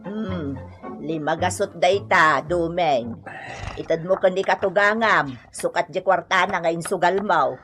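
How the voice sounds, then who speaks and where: A woman's voice: on the low side, unhurried, a bit bright, faint music behind. An actress playing a reproachful older mother-in-law in a comedy radio drama, grumbling and admonishing. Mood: grudging.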